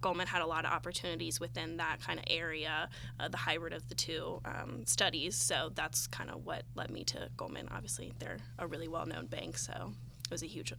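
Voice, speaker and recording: A woman's voice: deep voice, fairly even intonation, fairly quick. A young adult woman speaking as a student guest, giving casual interview answers. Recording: clearly audible background noise.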